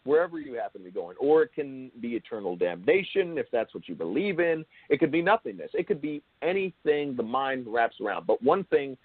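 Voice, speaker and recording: A man hosting an interview in a conversational, halting way, often circling back over his phrases. His pitch swings in a very lively way. The sound is dry and narrow, like a phone line. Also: husky, no room sound. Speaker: show host and interviewer.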